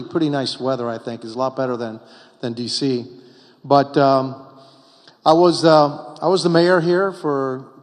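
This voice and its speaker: A man's voice: medium pitch, in a large hall. A middle-aged Cuban-born man, a congressman and former mayor, giving emphatic, repetitive panel remarks at a political retreat. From Cuba.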